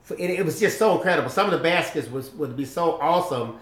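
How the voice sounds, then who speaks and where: A man's voice: fairly high pitch, lively intonation, quick pace. A man from Michigan co-hosting a live chat with his wife, joking around and often repeating words as he talks. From Michigan, USA. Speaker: co-host, husband. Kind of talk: casual live-stream chat. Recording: echoey room.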